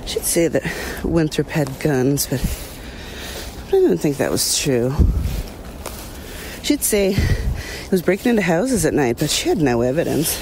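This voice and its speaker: An actress playing a weary, uneasy small-town woman, recollecting in a hesitant, plainspoken, confiding way with pauses, as testimony in an audio drama. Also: deep voice, very animated, a little mellow, a little hiss or hum, exaggerated voice, rough recording. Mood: wary.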